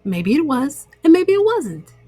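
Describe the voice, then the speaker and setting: A woman's voice: sits high, big pitch swings, unhurried, dark tone, dry recording, clean studio sound, soft background music. A woman voicing a playful, teasing male frog who tells spooky tales in a children's storybook.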